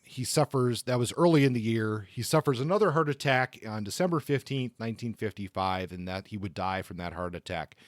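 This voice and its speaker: A man's voice: lowish voice, brisk, hardly any pauses, no echo, studio quality. A man co-hosting a podcast, talking through a literary biography from notes in an informal, conversational way. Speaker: podcast co-host. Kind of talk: semi-scripted literary biography talk.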